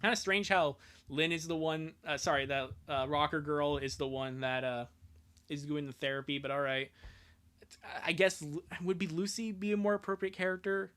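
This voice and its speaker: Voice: mid-range voice, steady tone, normal speed, fairly bright tone, clear, resonant tone. A streamer reading fan fiction live, breaking off for irreverent, sweary asides in a casual, joking way.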